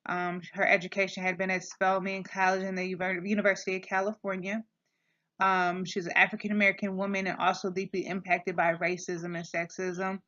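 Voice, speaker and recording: Woman, student presenter, monotone, hesitant, many fillers, muffled lo-fi sound. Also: medium pitch, a little fast. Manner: hesitant, with constant um fillers and restarts. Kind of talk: reading a class presentation. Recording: dull lo-fi recording.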